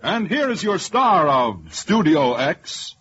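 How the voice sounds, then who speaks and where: A man's voice: a little high, big pitch swings, takes it slowly, a bit bright, breathy edge. A man announcing a radio drama in 1940s showman style. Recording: muffled old radio recording under music.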